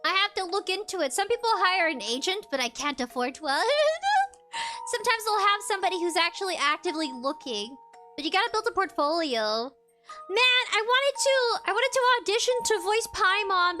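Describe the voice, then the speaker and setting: A woman's voice: very high-pitched, sharp tone. A woman streaming a game, chatting casually with viewers in a bubbly, giggly way. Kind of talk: casual live-stream chat while gaming.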